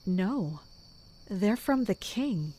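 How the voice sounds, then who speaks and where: Woman acting as mercenary captain, mid-pitched, composed, wry, measured audio drama narration. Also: lively intonation, warm tone. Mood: grim, focused. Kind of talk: first-person narration and dialogue in an audio drama.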